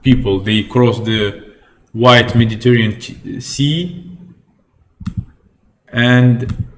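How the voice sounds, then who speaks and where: Slow, halting Algerian man on a stream. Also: mid-range voice, fairly even intonation. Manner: halting non-native English, searching for words. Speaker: livestream host. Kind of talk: casual livestream chat with viewers.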